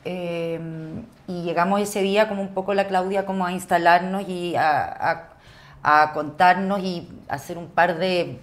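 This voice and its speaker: A woman's voice: on the low side, fairly even intonation, unhurried. A woman with a Chilean accent, an actress talking casually as a podcast guest.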